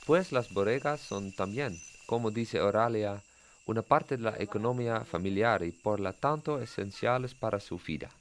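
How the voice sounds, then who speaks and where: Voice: mid-range voice, normal speed. A podcast co-host, a native English speaker, talking casually in accented Spanish with a playful, self-deprecating manner. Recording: music playing behind the voice. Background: guitar music.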